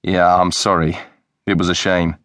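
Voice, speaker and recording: A patient, mild-mannered male detective, as voiced by the narrator, calm and even with a faintly smug edge. His voice sits low, and the recording is close and dry. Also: moderate pace, no echo. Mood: apologetic.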